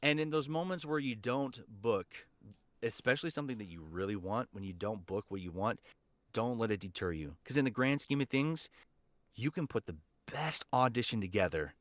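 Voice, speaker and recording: A man's voice: mid-range voice, animated, normal speed, fairly bright tone, dry recording. A man speaking as an interview guest and voice acting teacher, giving encouraging, emphatic advice in a conversational way. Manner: encouraging, emphatic with repeated key phrases. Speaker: voice actor and teacher, podcast guest.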